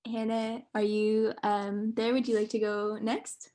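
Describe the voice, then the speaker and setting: A woman's voice: on the high side, little pitch movement, measured pace, mellow. A woman leading a casual group check-in over a video call, friendly and hesitant, with frequent ums. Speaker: workshop facilitator.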